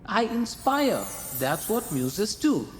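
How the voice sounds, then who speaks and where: A man playing a calm, gently teasing male muse in a radio drama. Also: sits high, takes it slowly, heavily acted. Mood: wry. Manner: wry, calm, coaxing. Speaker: the writer's muse. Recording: long reverb, faint noise.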